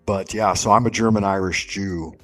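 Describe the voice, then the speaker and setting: A man's voice: low-pitched, steady tone, normal speed, fairly bright tone, faint music behind. A man hosting a stream, rambling in casual chat and swearing freely. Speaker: streamer host.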